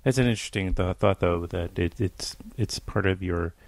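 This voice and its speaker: A man's voice: low-pitched, normal speed, vocal fry, no room sound. A man hosting an interview, asking loose, rambling questions with frequent restarts. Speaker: podcast host.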